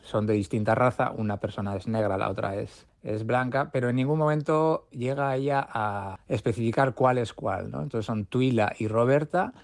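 A man's voice: mid-range voice, moderate pace, creaky, few pauses, no room sound. A man speaking as a guest reviewer, giving conversational commentary on a book.

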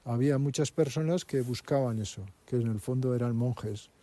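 A man, working as a local guide and explaining history and landscape in a halting way, with many fillers and restarts. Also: mid-range voice, mellow.

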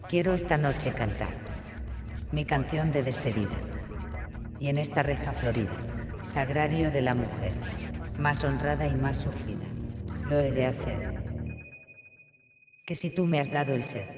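A male singer playing a singing algorithm that personifies a constitution, in sustained operatic zarzuela style over loud musical accompaniment. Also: on the high side. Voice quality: sung, sustained melodic lines.

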